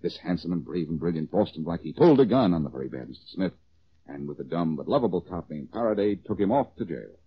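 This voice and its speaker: An actor playing a cocky, quick-witted amateur detective with wry self-assurance in a 1940s radio drama. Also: male voice, low voice, sing-song, slightly rough, heavily acted. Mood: playful, teasing storytelling. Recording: dull old radio recording.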